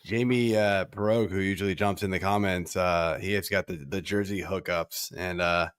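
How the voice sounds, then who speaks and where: A man's voice: sits low, fairly even intonation, moderate pace, smooth, no echo. A man hosting a casual fantasy baseball show, his voice a little worn from losing it, talking in an easygoing, rambling way with lots of fillers. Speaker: podcast host.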